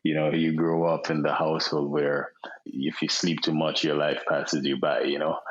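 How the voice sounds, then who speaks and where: A Jamaican man in his thirties, a podcast guest, talking in a relaxed way with many fillers and repeated words over a remote call. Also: very deep voice, moderate pace, slightly rough. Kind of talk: casual interview chat. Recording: dull lo-fi sound.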